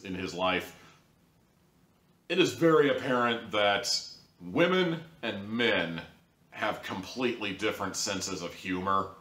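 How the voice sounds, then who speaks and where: A low-pitched man with a bright, forceful edge to his voice, reviewing an audio drama in a rambling, sarcastic monologue. His pitch jumps suddenly for emphasis. He sounds like he is recording in an echoey room.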